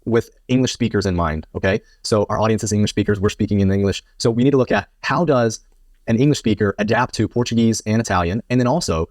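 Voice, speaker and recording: A man co-hosting a discussion video, explaining points in a quick, confident way. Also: on the low side, darkish tone, dry recording, studio quality. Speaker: video co-host. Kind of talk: conversational discussion.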